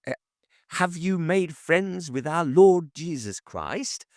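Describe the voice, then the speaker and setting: An eager young preacher with a bright, raised voice, full of conviction but stumbling over his words, as a male narrator plays him. The recording is dry and close. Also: fairly high pitch, expressive, takes it slowly, dry recording, voice pushed up. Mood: earnest.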